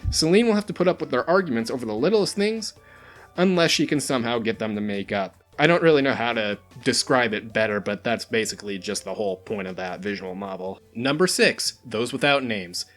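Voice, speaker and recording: A man hosts a scripted countdown of recommendations in a mid-pitched voice that moves up and down a lot. His narration is informal and dryly humorous. Music plays faintly behind him. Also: animated, a little fast, a bit bright. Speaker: host of a video game recommendation countdown. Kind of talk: scripted narration of a top list.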